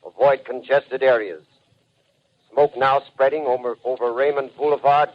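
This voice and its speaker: Actor as clipped 1930s emergency radio announcer. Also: male voice, medium pitch, steady tone, normal speed, natural voice. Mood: urgent warning. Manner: clipped, telegraphic, official. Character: urgent male emergency announcer broadcasting an evacuation warning. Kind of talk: 1930s radio acting, telegraphic bulletin. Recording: dull 1930s radio recording.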